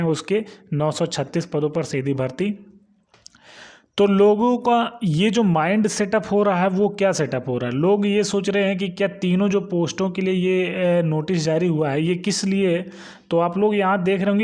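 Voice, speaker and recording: Male explainer host, notably high voice, informal and instructional, repeats key points. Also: a little mellow. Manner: repetitive, instructional, often checking understanding. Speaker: exam-news channel host. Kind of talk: informal explainer of recruitment notices.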